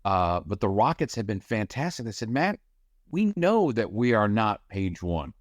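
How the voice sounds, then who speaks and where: A man's voice: on the low side, big pitch swings, moderate pace, no room sound, studio quality. A man who is a sports radio host and play-by-play announcer, answering interview questions in a conversational, emphatic way with repeated phrases and filler words.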